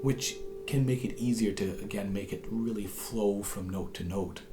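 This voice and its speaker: A hoarse man with a cold, patiently explaining how to play a tune as a harp teacher, his voice sometimes dropping to a whisper. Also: on the low side, moderate pace, over music. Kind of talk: instructional tutorial.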